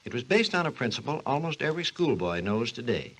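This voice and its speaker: An authoritative doctor narrates in 1940s radio style. The voice is husky and mid-pitched, with sweeping intonation and a formal, instructive manner. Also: male voice, big pitch swings, fast, a bit bright, poor audio. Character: authoritative male doctor explaining history and science. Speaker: narrator and expert.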